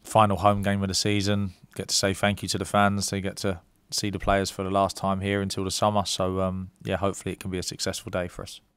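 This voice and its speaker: A man in his thirties, a football manager at a press conference, giving measured answers with frequent ums and restarts into a desk mic. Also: deep voice, completely flat delivery, a bit bright, clean tone, no room sound. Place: club press room.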